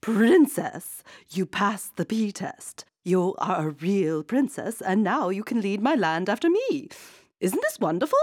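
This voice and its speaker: A woman voicing a fussy, set-in-her-ways queen in a storybook, high and squealing with drawn-out words. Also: huge pitch swings, darkish tone, no room sound, studio quality. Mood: excited.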